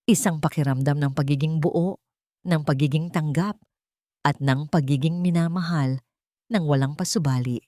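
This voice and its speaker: A woman narrating a story expressively and sentimentally, in short measured phrases. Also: low-pitched, warm tone, no room sound, studio quality. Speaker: audiobook narrator.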